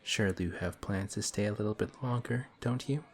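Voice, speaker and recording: A man voicing a suave male villain who teases a hero in an audio roleplay, slow and taunting. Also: fairly low pitch, close to an everyday voice. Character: smug suave male villain, teasing and calm. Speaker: voice actor.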